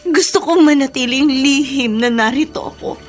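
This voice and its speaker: A woman's voice: high voice, moderate pace, soft background music, close to an everyday voice. An actress playing an anguished, secretive mother in a radio melodrama, pleading and emotional. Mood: tearful pleading. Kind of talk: Tagalog radio drama melodrama.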